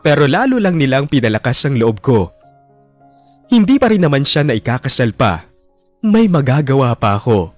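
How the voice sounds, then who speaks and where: A man's voice: medium pitch, big pitch swings, moderate pace, long pauses, no room sound. A man narrating a heartbroken first-person love story in a radio drama. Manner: brooding, heartbroken confession. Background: soft music bed.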